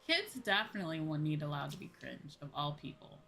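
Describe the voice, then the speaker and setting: A woman's voice: sits low, lively intonation, brisk, creaky, bright, forceful tone. A woman streamer talking casually and conversationally in a livestream chat. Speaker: VTuber streamer.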